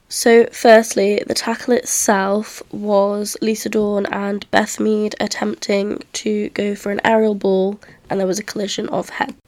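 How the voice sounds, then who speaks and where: A woman's voice: medium pitch, darkish tone. Podcast host, female. Blocked-nose sound from a cold, medium register, level delivery, semi-scripted football recap. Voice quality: nasal. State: congested with a cold.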